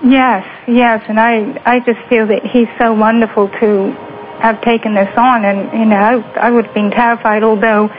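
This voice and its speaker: A woman with a faded Lancashire accent, hosting a radio talk show and rambling warmly to fill dead air. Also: on the high side, level tone, moderate pace, soft background music. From Lancashire, England. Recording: dull lo-fi radio audio with faint hiss.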